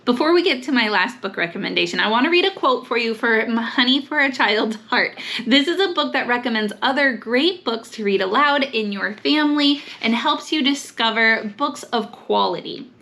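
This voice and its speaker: Homeschool mom vlogger, female, high and bright voice, enthusiastic, emphatic delivery of book picks. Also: expressive, moderate pace, hardly any pauses. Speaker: host, homeschool mom recommending books. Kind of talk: upbeat vlog book recommendations to camera.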